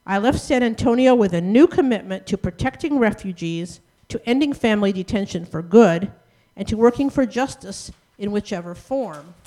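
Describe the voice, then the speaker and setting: A young woman delivering a prepared personal testimonial speech, speaking in a measured, earnest way as a former intern. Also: mid-range voice, expressive, dark tone, poor audio.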